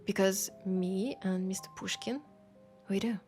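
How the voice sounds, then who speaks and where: A woman with a French accent playing a weary, passionate bookshop owner in an intimate roleplay, softly and pensively teasing a vampire customer. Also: medium pitch, soft background music, close to an everyday voice. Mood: musing, passionate. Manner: unhurried, pensive, teasing, with sighs and pauses. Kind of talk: intimate audio roleplay, scripted monologue addressed to listener.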